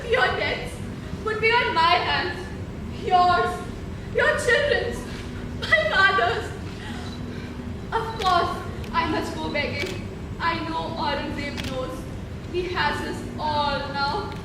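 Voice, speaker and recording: Very high-voiced, urgent princess played by a woman. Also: takes it slowly, pauses often. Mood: desperate. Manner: declamatory, urgent, dramatic. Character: impassioned princess, pleading and scheming in palace intrigue. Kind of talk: stage play acting. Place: theatre stage, recorded from far off. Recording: distant, echoey, noisy stage recording.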